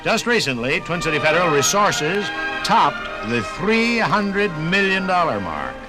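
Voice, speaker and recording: An American man doing scripted 1950s radio announcing, with folksy, measured phrasing. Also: high voice, big pitch swings, rough recording. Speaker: radio narrator and sponsor announcer. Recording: 1958 radio program from LP record. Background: music.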